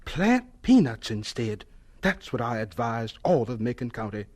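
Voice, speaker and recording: An actor playing a devout, humble elderly scientist in a radio drama, husky and deliberate, absorbed in talking with God. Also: male voice, mid-range voice, very animated, darkish tone. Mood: earnest storytelling.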